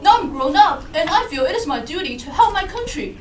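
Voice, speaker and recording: A woman's voice: very high voice, sing-song, a little fast. A woman voicing an earnest, polite young hero boy in a live story show, proper and declaiming. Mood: earnest, resolute. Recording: echoey room, distant mic, noisy.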